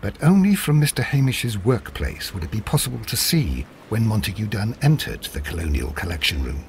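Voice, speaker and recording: An actor playing a loyal, wry English companion to a detective in a video game, dry and gently teasing in manner. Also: male voice, fairly low pitch, big pitch swings, fairly quick, slight background noise. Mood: earnest. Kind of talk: video game cutscene acting.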